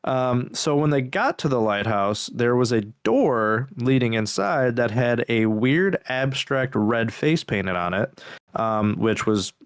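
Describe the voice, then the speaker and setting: A man co-hosting a podcast, recapping horror videos in a halting, casual way with some swearing. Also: medium pitch, animated, clean tone, no room sound, clean studio sound. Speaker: podcast co-host.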